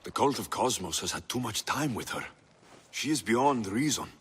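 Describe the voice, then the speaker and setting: A man's voice: on the low side, expressive, moderate pace, fairly bright tone, breathy edge, heavily acted. An actor voicing a young male mercenary warrior in a game cutscene, earnest and blunt. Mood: calm. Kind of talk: video game cutscene acting. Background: game score music.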